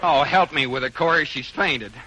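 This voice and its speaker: An actor playing a flustered, exasperated husband in a 1940s radio comedy. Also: male voice, on the high side, normal speed, close to an everyday voice. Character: flustered middle-aged husband. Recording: old radio broadcast with faint hiss.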